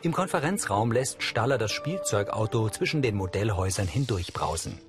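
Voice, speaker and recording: A man's voice: on the low side, animated, moderate pace, over music. A man reading scripted scene narration for the audio description of a TV drama.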